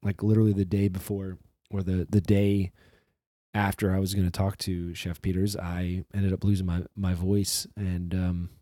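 A man with a low, mellow voice hosts a podcast in a loose, rambling way, restarting sentences and swearing freely. His voice is slightly hoarse from a recent flu, and the recording is dry and close. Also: level tone, normal speed, no room sound. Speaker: podcast host. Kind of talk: casual solo intro monologue.

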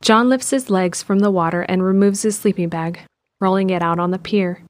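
A woman narrating an audio drama in a calm, measured way. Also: mid-range voice, no echo.

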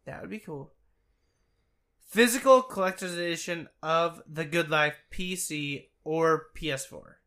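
A man co-hosting a casual podcast, talking with a wry, joking edge and an occasional curse. His voice sits a little high and sounds bright, with a sick, worn tone. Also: unhurried. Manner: wry, profane banter. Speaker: podcast co-host. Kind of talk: casual chat.

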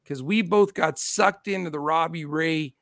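A man's voice: fairly high pitch, measured pace, fairly bright tone, no room sound, studio quality. A man hosting a casual sports podcast, rattling off numbers in a chatty way while losing his voice. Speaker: podcast host.

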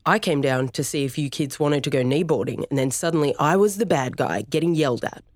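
A woman's voice: deep voice, animated, brisk, no echo, studio quality. A woman voicing a middle-aged father who defends himself indignantly against his son. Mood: defensive.

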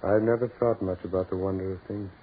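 An actor playing a brooding businessman who yearns to break free, with halting, intense delivery in 1940s radio melodrama style. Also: sits low, steady tone, slight background noise, lightly acted. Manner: halting, intense, dramatic pauses. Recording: muffled, tinny 1940s radio recording with music.

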